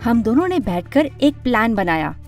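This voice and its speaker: Female narrator for an animated story, high voice, dramatic first-person telling, light music bed. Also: normal speed. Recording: music under the voice.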